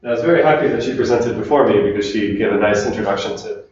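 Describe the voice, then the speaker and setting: A man from Finland giving a relaxed technical talk to a meetup audience, chatting with the crowd. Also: on the low side, very fast, background noise. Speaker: conference speaker. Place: large echoey meetup room. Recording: distant, roomy, dull room recording.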